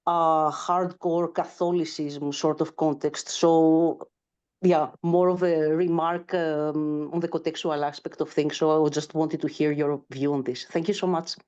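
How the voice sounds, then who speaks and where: A woman's voice: low-pitched, level tone, measured pace, a little mellow, clear, resonant tone, highs cut off. A woman from Greece, hesitantly putting a question to a webinar speaker over a video call. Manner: hesitant, searching for words. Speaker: webinar audience member asking a question.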